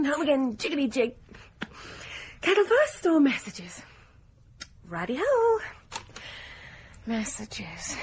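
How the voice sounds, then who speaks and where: A young woman, wry and chatty, talks to herself in a radio drama. Her high voice swoops expressively at an unhurried pace, with clear ambient noise around it. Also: heavily acted. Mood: playful, chatty. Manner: chatty, sardonic. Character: wry, chatty expectant mother alone at home. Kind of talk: naturalistic radio drama acting.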